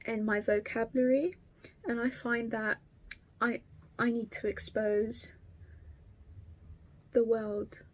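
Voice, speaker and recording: A woman's voice: fairly high pitch, steady tone, slow pace, pauses often. A woman in her twenties with an Estuary English accent, from Kent, chatting to camera about her craft in a rambling, sweary way. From Kent, England. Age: 20s. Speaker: craft vlogger. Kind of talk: casual chat to camera.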